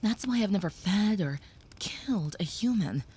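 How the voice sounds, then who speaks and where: A woman voicing a gentle young female vampire who soothes a human listener, speaking softly and often in a whisper, in an audio roleplay. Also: medium pitch, animated, normal speed, husky. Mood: soothing.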